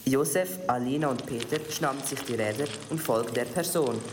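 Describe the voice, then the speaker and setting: Casting: teenage male narrator for a student radio play, long reverb, clear background noise. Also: mid-range voice, fairly quick. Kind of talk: scripted audio-drama narration. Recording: echoey reverberant room, noisy.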